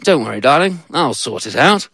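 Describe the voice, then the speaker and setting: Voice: medium pitch, very animated, normal speed, creaky, fairly bright tone, slightly rough, no room sound, studio quality, full character voice. A storyteller voicing a polite, hesitant husband who stammers over his words.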